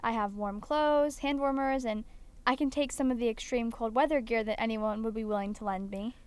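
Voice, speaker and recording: A high, clear voice of a hopeful young adventurer played by a woman in an audio drama. The character is earnest, brave and determined. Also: moderate pace, lightly acted. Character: hopeful, brave young student rock climber Joanne. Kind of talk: amateur audio drama acting.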